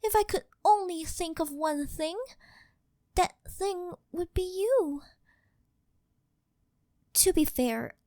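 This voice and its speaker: Very high-voiced, obsessively devoted doll maid. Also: female voice, a little mellow, dry recording, clean studio sound. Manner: reverent, formal, melodramatic, fawning. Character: devoted, possessive female living doll maid, worshipful and obsessive. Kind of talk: scripted ASMR roleplay, intimate monologue to the listener.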